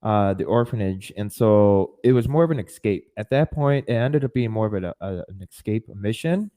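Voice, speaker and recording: A man's voice: lowish voice, expressive, normal speed, warm tone. A man in his twenties recounting his life story as an interview guest, often repeating and rephrasing himself as he explains. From born in Russia, adopted as a child. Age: 20s. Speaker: podcast guest.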